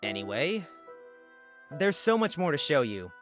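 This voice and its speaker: A woman voicing an uneasy exiled young man, the earnest and halting hero of a fantasy visual novel. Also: sits low, big pitch swings, pitched below the performer's own voice. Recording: music playing behind the voice.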